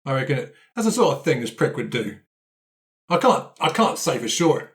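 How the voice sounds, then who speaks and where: A man's voice: mid-range voice, very animated, normal speed, a bit bright. A man improvising a cocky, vengeful adventurer in a roleplay, speaking in a blunt, offhand, matey way. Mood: vengeful, confident. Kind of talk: improvised roleplay for an actual-play podcast.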